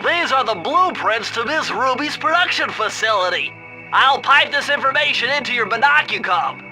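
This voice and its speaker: Casting: anxious brainy young turtle sidekick. Extremely high, nasal, fussy and precise, video game cartoon over a music bed. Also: male voice, animated, moderate pace, poor audio. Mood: instructive. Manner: fussy, precise, techy explaining.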